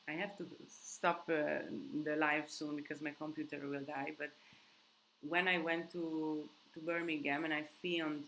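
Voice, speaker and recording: A woman's voice: deep voice, fairly bright tone, a little hiss or hum, low-quality recording. A woman hosting a live Q&A in English that is not her first language, rambling casually with many restarts and fillers. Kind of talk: casual live-stream chat with viewers. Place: countryside home.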